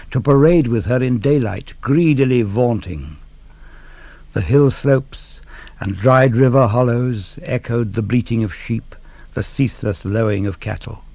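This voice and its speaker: A man giving a dramatic radio reading of classical verse, solemn, measured and deliberate. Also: mid-range voice, gravelly, a little mellow, no room sound. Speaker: reader of poetry.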